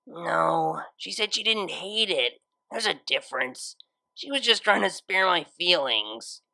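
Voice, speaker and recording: A man's voice: high voice, expressive, unhurried, gravelly, sharp tone, exaggerated voice, low-quality recording. A man voicing a young female unicorn DJ pony in a story, flustered, self-doubting and lovestruck, with hesitant, stammering delivery. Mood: anxious, brooding.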